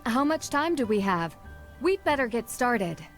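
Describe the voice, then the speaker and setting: A woman's voice: a little high, very animated, a little slow, faint music behind. A woman playing a polite, curious young amateur detective in a video game. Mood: helpful, keen.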